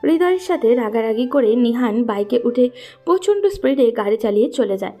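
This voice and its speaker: A woman's voice: on the high side, lively intonation, a little fast, mellow, faint music behind. A woman narrator reading a scripted audio story.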